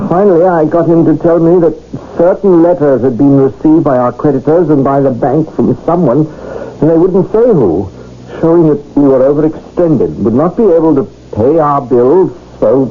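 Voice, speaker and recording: An actor playing an earnest, mild London shopkeeper husband in a radio drama, hesitant and pleading, with stammers. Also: male voice, fairly high pitch, lively intonation, moderate pace, phone-quality sound, lightly acted. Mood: distressed, bewildered. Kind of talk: 1970s radio drama acting. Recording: thin, noisy old radio broadcast.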